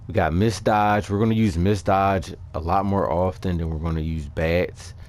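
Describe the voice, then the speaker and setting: A man with a low-pitched voice presents a gaming video as its host. He speaks informally and conversationally, as if to regular viewers. His delivery is a little hesitant as he casually explains things over game footage. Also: steady tone, normal speed, a little mellow. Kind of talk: casual game build commentary. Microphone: voice-over on a microphone over game capture.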